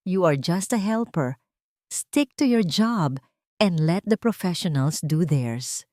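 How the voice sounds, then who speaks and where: A woman's voice: lowish voice, animated, slow, dark tone, no room sound, clean studio sound. A woman voicing a cold, arrogant rich man who talks down to others in a commanding, contemptuous way.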